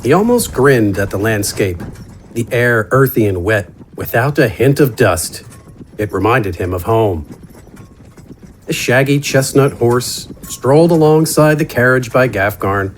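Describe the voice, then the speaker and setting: A man narrating a scripted fantasy story in a measured, descriptive storytelling manner. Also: mid-range voice, a little hiss or hum. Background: horse and outdoor ambience effects.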